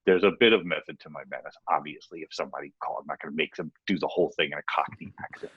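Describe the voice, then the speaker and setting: An older man with a deep voice, co-hosting a casual podcast chat and rambling with many restarts. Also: very fast, a bit bright, slightly rough, dry recording, dull audio. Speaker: podcast co-host and professor.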